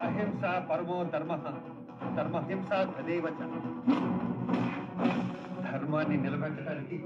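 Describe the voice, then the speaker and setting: A man's voice: on the high side, fairly even intonation, unhurried, distant mic, close to an everyday voice. An actor playing a menacing, intense man in dramatic film trailer dialogue. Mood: solemn, intense. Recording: muffled, echoey film trailer, loud music.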